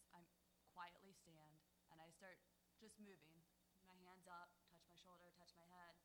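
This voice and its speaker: A woman's voice: lowish voice, bright, forceful tone, slight background noise, rough recording. A woman, a teacher, sharing earnest reflections during a church sermon.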